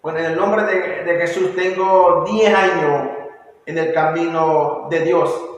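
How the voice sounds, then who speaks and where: A man's voice: on the high side, fairly even intonation, takes it slowly. A Panamanian man in his forties with a Panamanian Spanish accent, earnestly giving his church testimony and often restarting words. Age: 40s. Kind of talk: personal testimony. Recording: echoey hall, distant mic.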